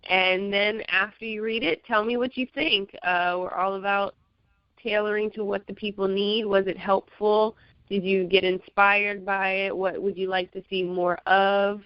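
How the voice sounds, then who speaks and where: A woman guest on a radio talk show, a magazine publisher, talking in a friendly, relaxed way. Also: mid-range voice, unhurried, dry recording. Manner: friendly, relaxed, light laughs. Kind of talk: casual interview chat. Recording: dull lo-fi sound, dry.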